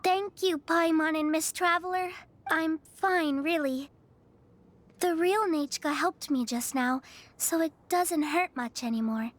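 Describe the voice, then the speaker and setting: A woman voicing a timid, frail, earnest little girl in a video game, with a soft, girlish voice. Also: extremely high pitch, a little slow. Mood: polite, reassuring.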